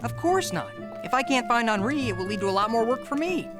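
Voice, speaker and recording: A woman plays an eager teenage boy in a cartoon. The voice is animated and self-assured, over loud background music. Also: on the high side, brisk, close to an everyday voice. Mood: assured, hopeful. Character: confident, eager teenage boy, colonial apprentice reporter. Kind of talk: animated series acting.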